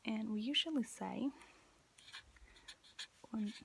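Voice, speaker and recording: A woman with an Italian accent gives an informal, gentle language lesson in a breathy whisper, pausing as she explains. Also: a little high, a little hiss or hum. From Italy. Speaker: language teacher.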